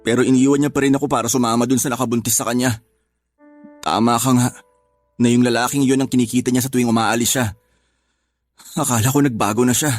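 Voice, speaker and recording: An actor playing a gentle, heartbroken older brother in an audio drama, who speaks softly and sighs as he blames himself. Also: mid-range voice, steady tone, quick pace, pauses often, faint music behind, lightly acted. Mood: sad. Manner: soft-spoken, sighing, self-blaming. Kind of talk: radio drama acting.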